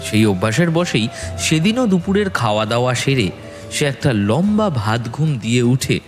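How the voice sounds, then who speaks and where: A man narrating a scripted horror story in a dramatic, expressive storytelling manner with measured phrasing. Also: medium pitch. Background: background music.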